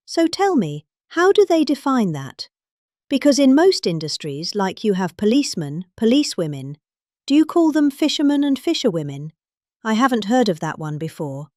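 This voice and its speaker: A woman with a British accent voicing a pompous, indignant middle-aged lady delivering a rambling political speech, with exaggerated emphases and pauses. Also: medium pitch, warm tone, dry recording, clean studio sound, close to an everyday voice. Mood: pompous, questioning. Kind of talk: dramatic comic reading of a political speech.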